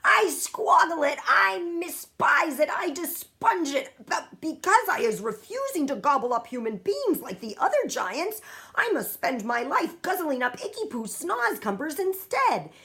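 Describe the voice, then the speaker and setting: A woman's voice: high voice, very animated, sharp tone, pitched above the performer's own voice, exaggerated voice. Woman putting on a swooping, grand old giant. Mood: disgusted. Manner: muddled, squiggly grammar with emphatic drawn-out words. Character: very old, friendly male giant, grandly indignant.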